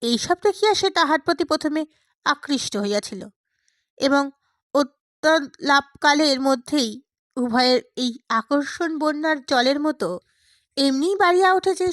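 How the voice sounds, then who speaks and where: A woman's voice: sits high, unhurried, fairly bright tone, no echo, clean studio sound. A woman reading a literary story aloud as scripted narration. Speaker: audiobook narrator.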